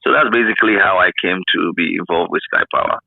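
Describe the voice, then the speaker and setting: A man's voice: low-pitched, fast talker, vocal fry, no echo. A middle-aged man reminiscing as an interview guest in casual conversation, effusive and emphatic, often repeating his phrases. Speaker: interview guest, former radio broadcaster. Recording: thin, narrow phone-like line.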